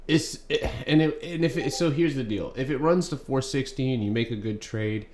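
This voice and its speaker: A man's voice: medium pitch, moderate pace, darkish tone. A young man hosting a live stock trading stream, talking casually and rambling with many ums and uhs. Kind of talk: live market commentary. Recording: echoey room, faint background noise.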